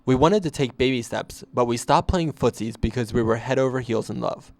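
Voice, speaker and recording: A man narrating a scripted first-person story in a deadpan way. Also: medium pitch, fairly quick, dry recording.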